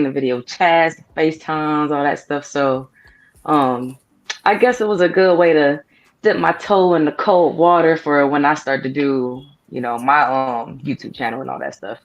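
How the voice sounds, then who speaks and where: A woman's voice: low-pitched, normal speed, highs cut off. A woman guest on a video call, talking shyly and hesitantly with many fillers. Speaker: guest judge. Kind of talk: casual video-call chat.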